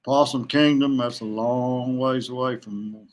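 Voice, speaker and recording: A man talking casually as a podcast guest, a veteran angler who haltingly thinks aloud as he goes. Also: medium pitch, steady tone, slight background noise.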